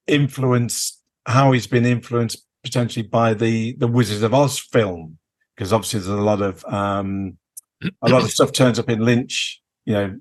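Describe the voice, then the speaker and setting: A man chatting casually as a podcast co-host, hesitant and meandering as he searches for words. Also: medium pitch. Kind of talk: casual chat about films.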